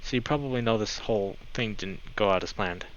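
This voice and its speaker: A man's voice: fairly low pitch, fairly quick, a little hiss or hum, lightly acted. A man voicing a hesitant, earnest young male wolf, a brotherly visual novel character weighed down by guilt. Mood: guilty, subdued. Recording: slightly dull mic, music behind.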